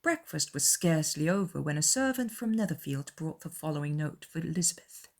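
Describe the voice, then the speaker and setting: A woman's voice: low voice, animated, brisk. A woman reading scripted literary narration as the narrator of a dramatized audiobook.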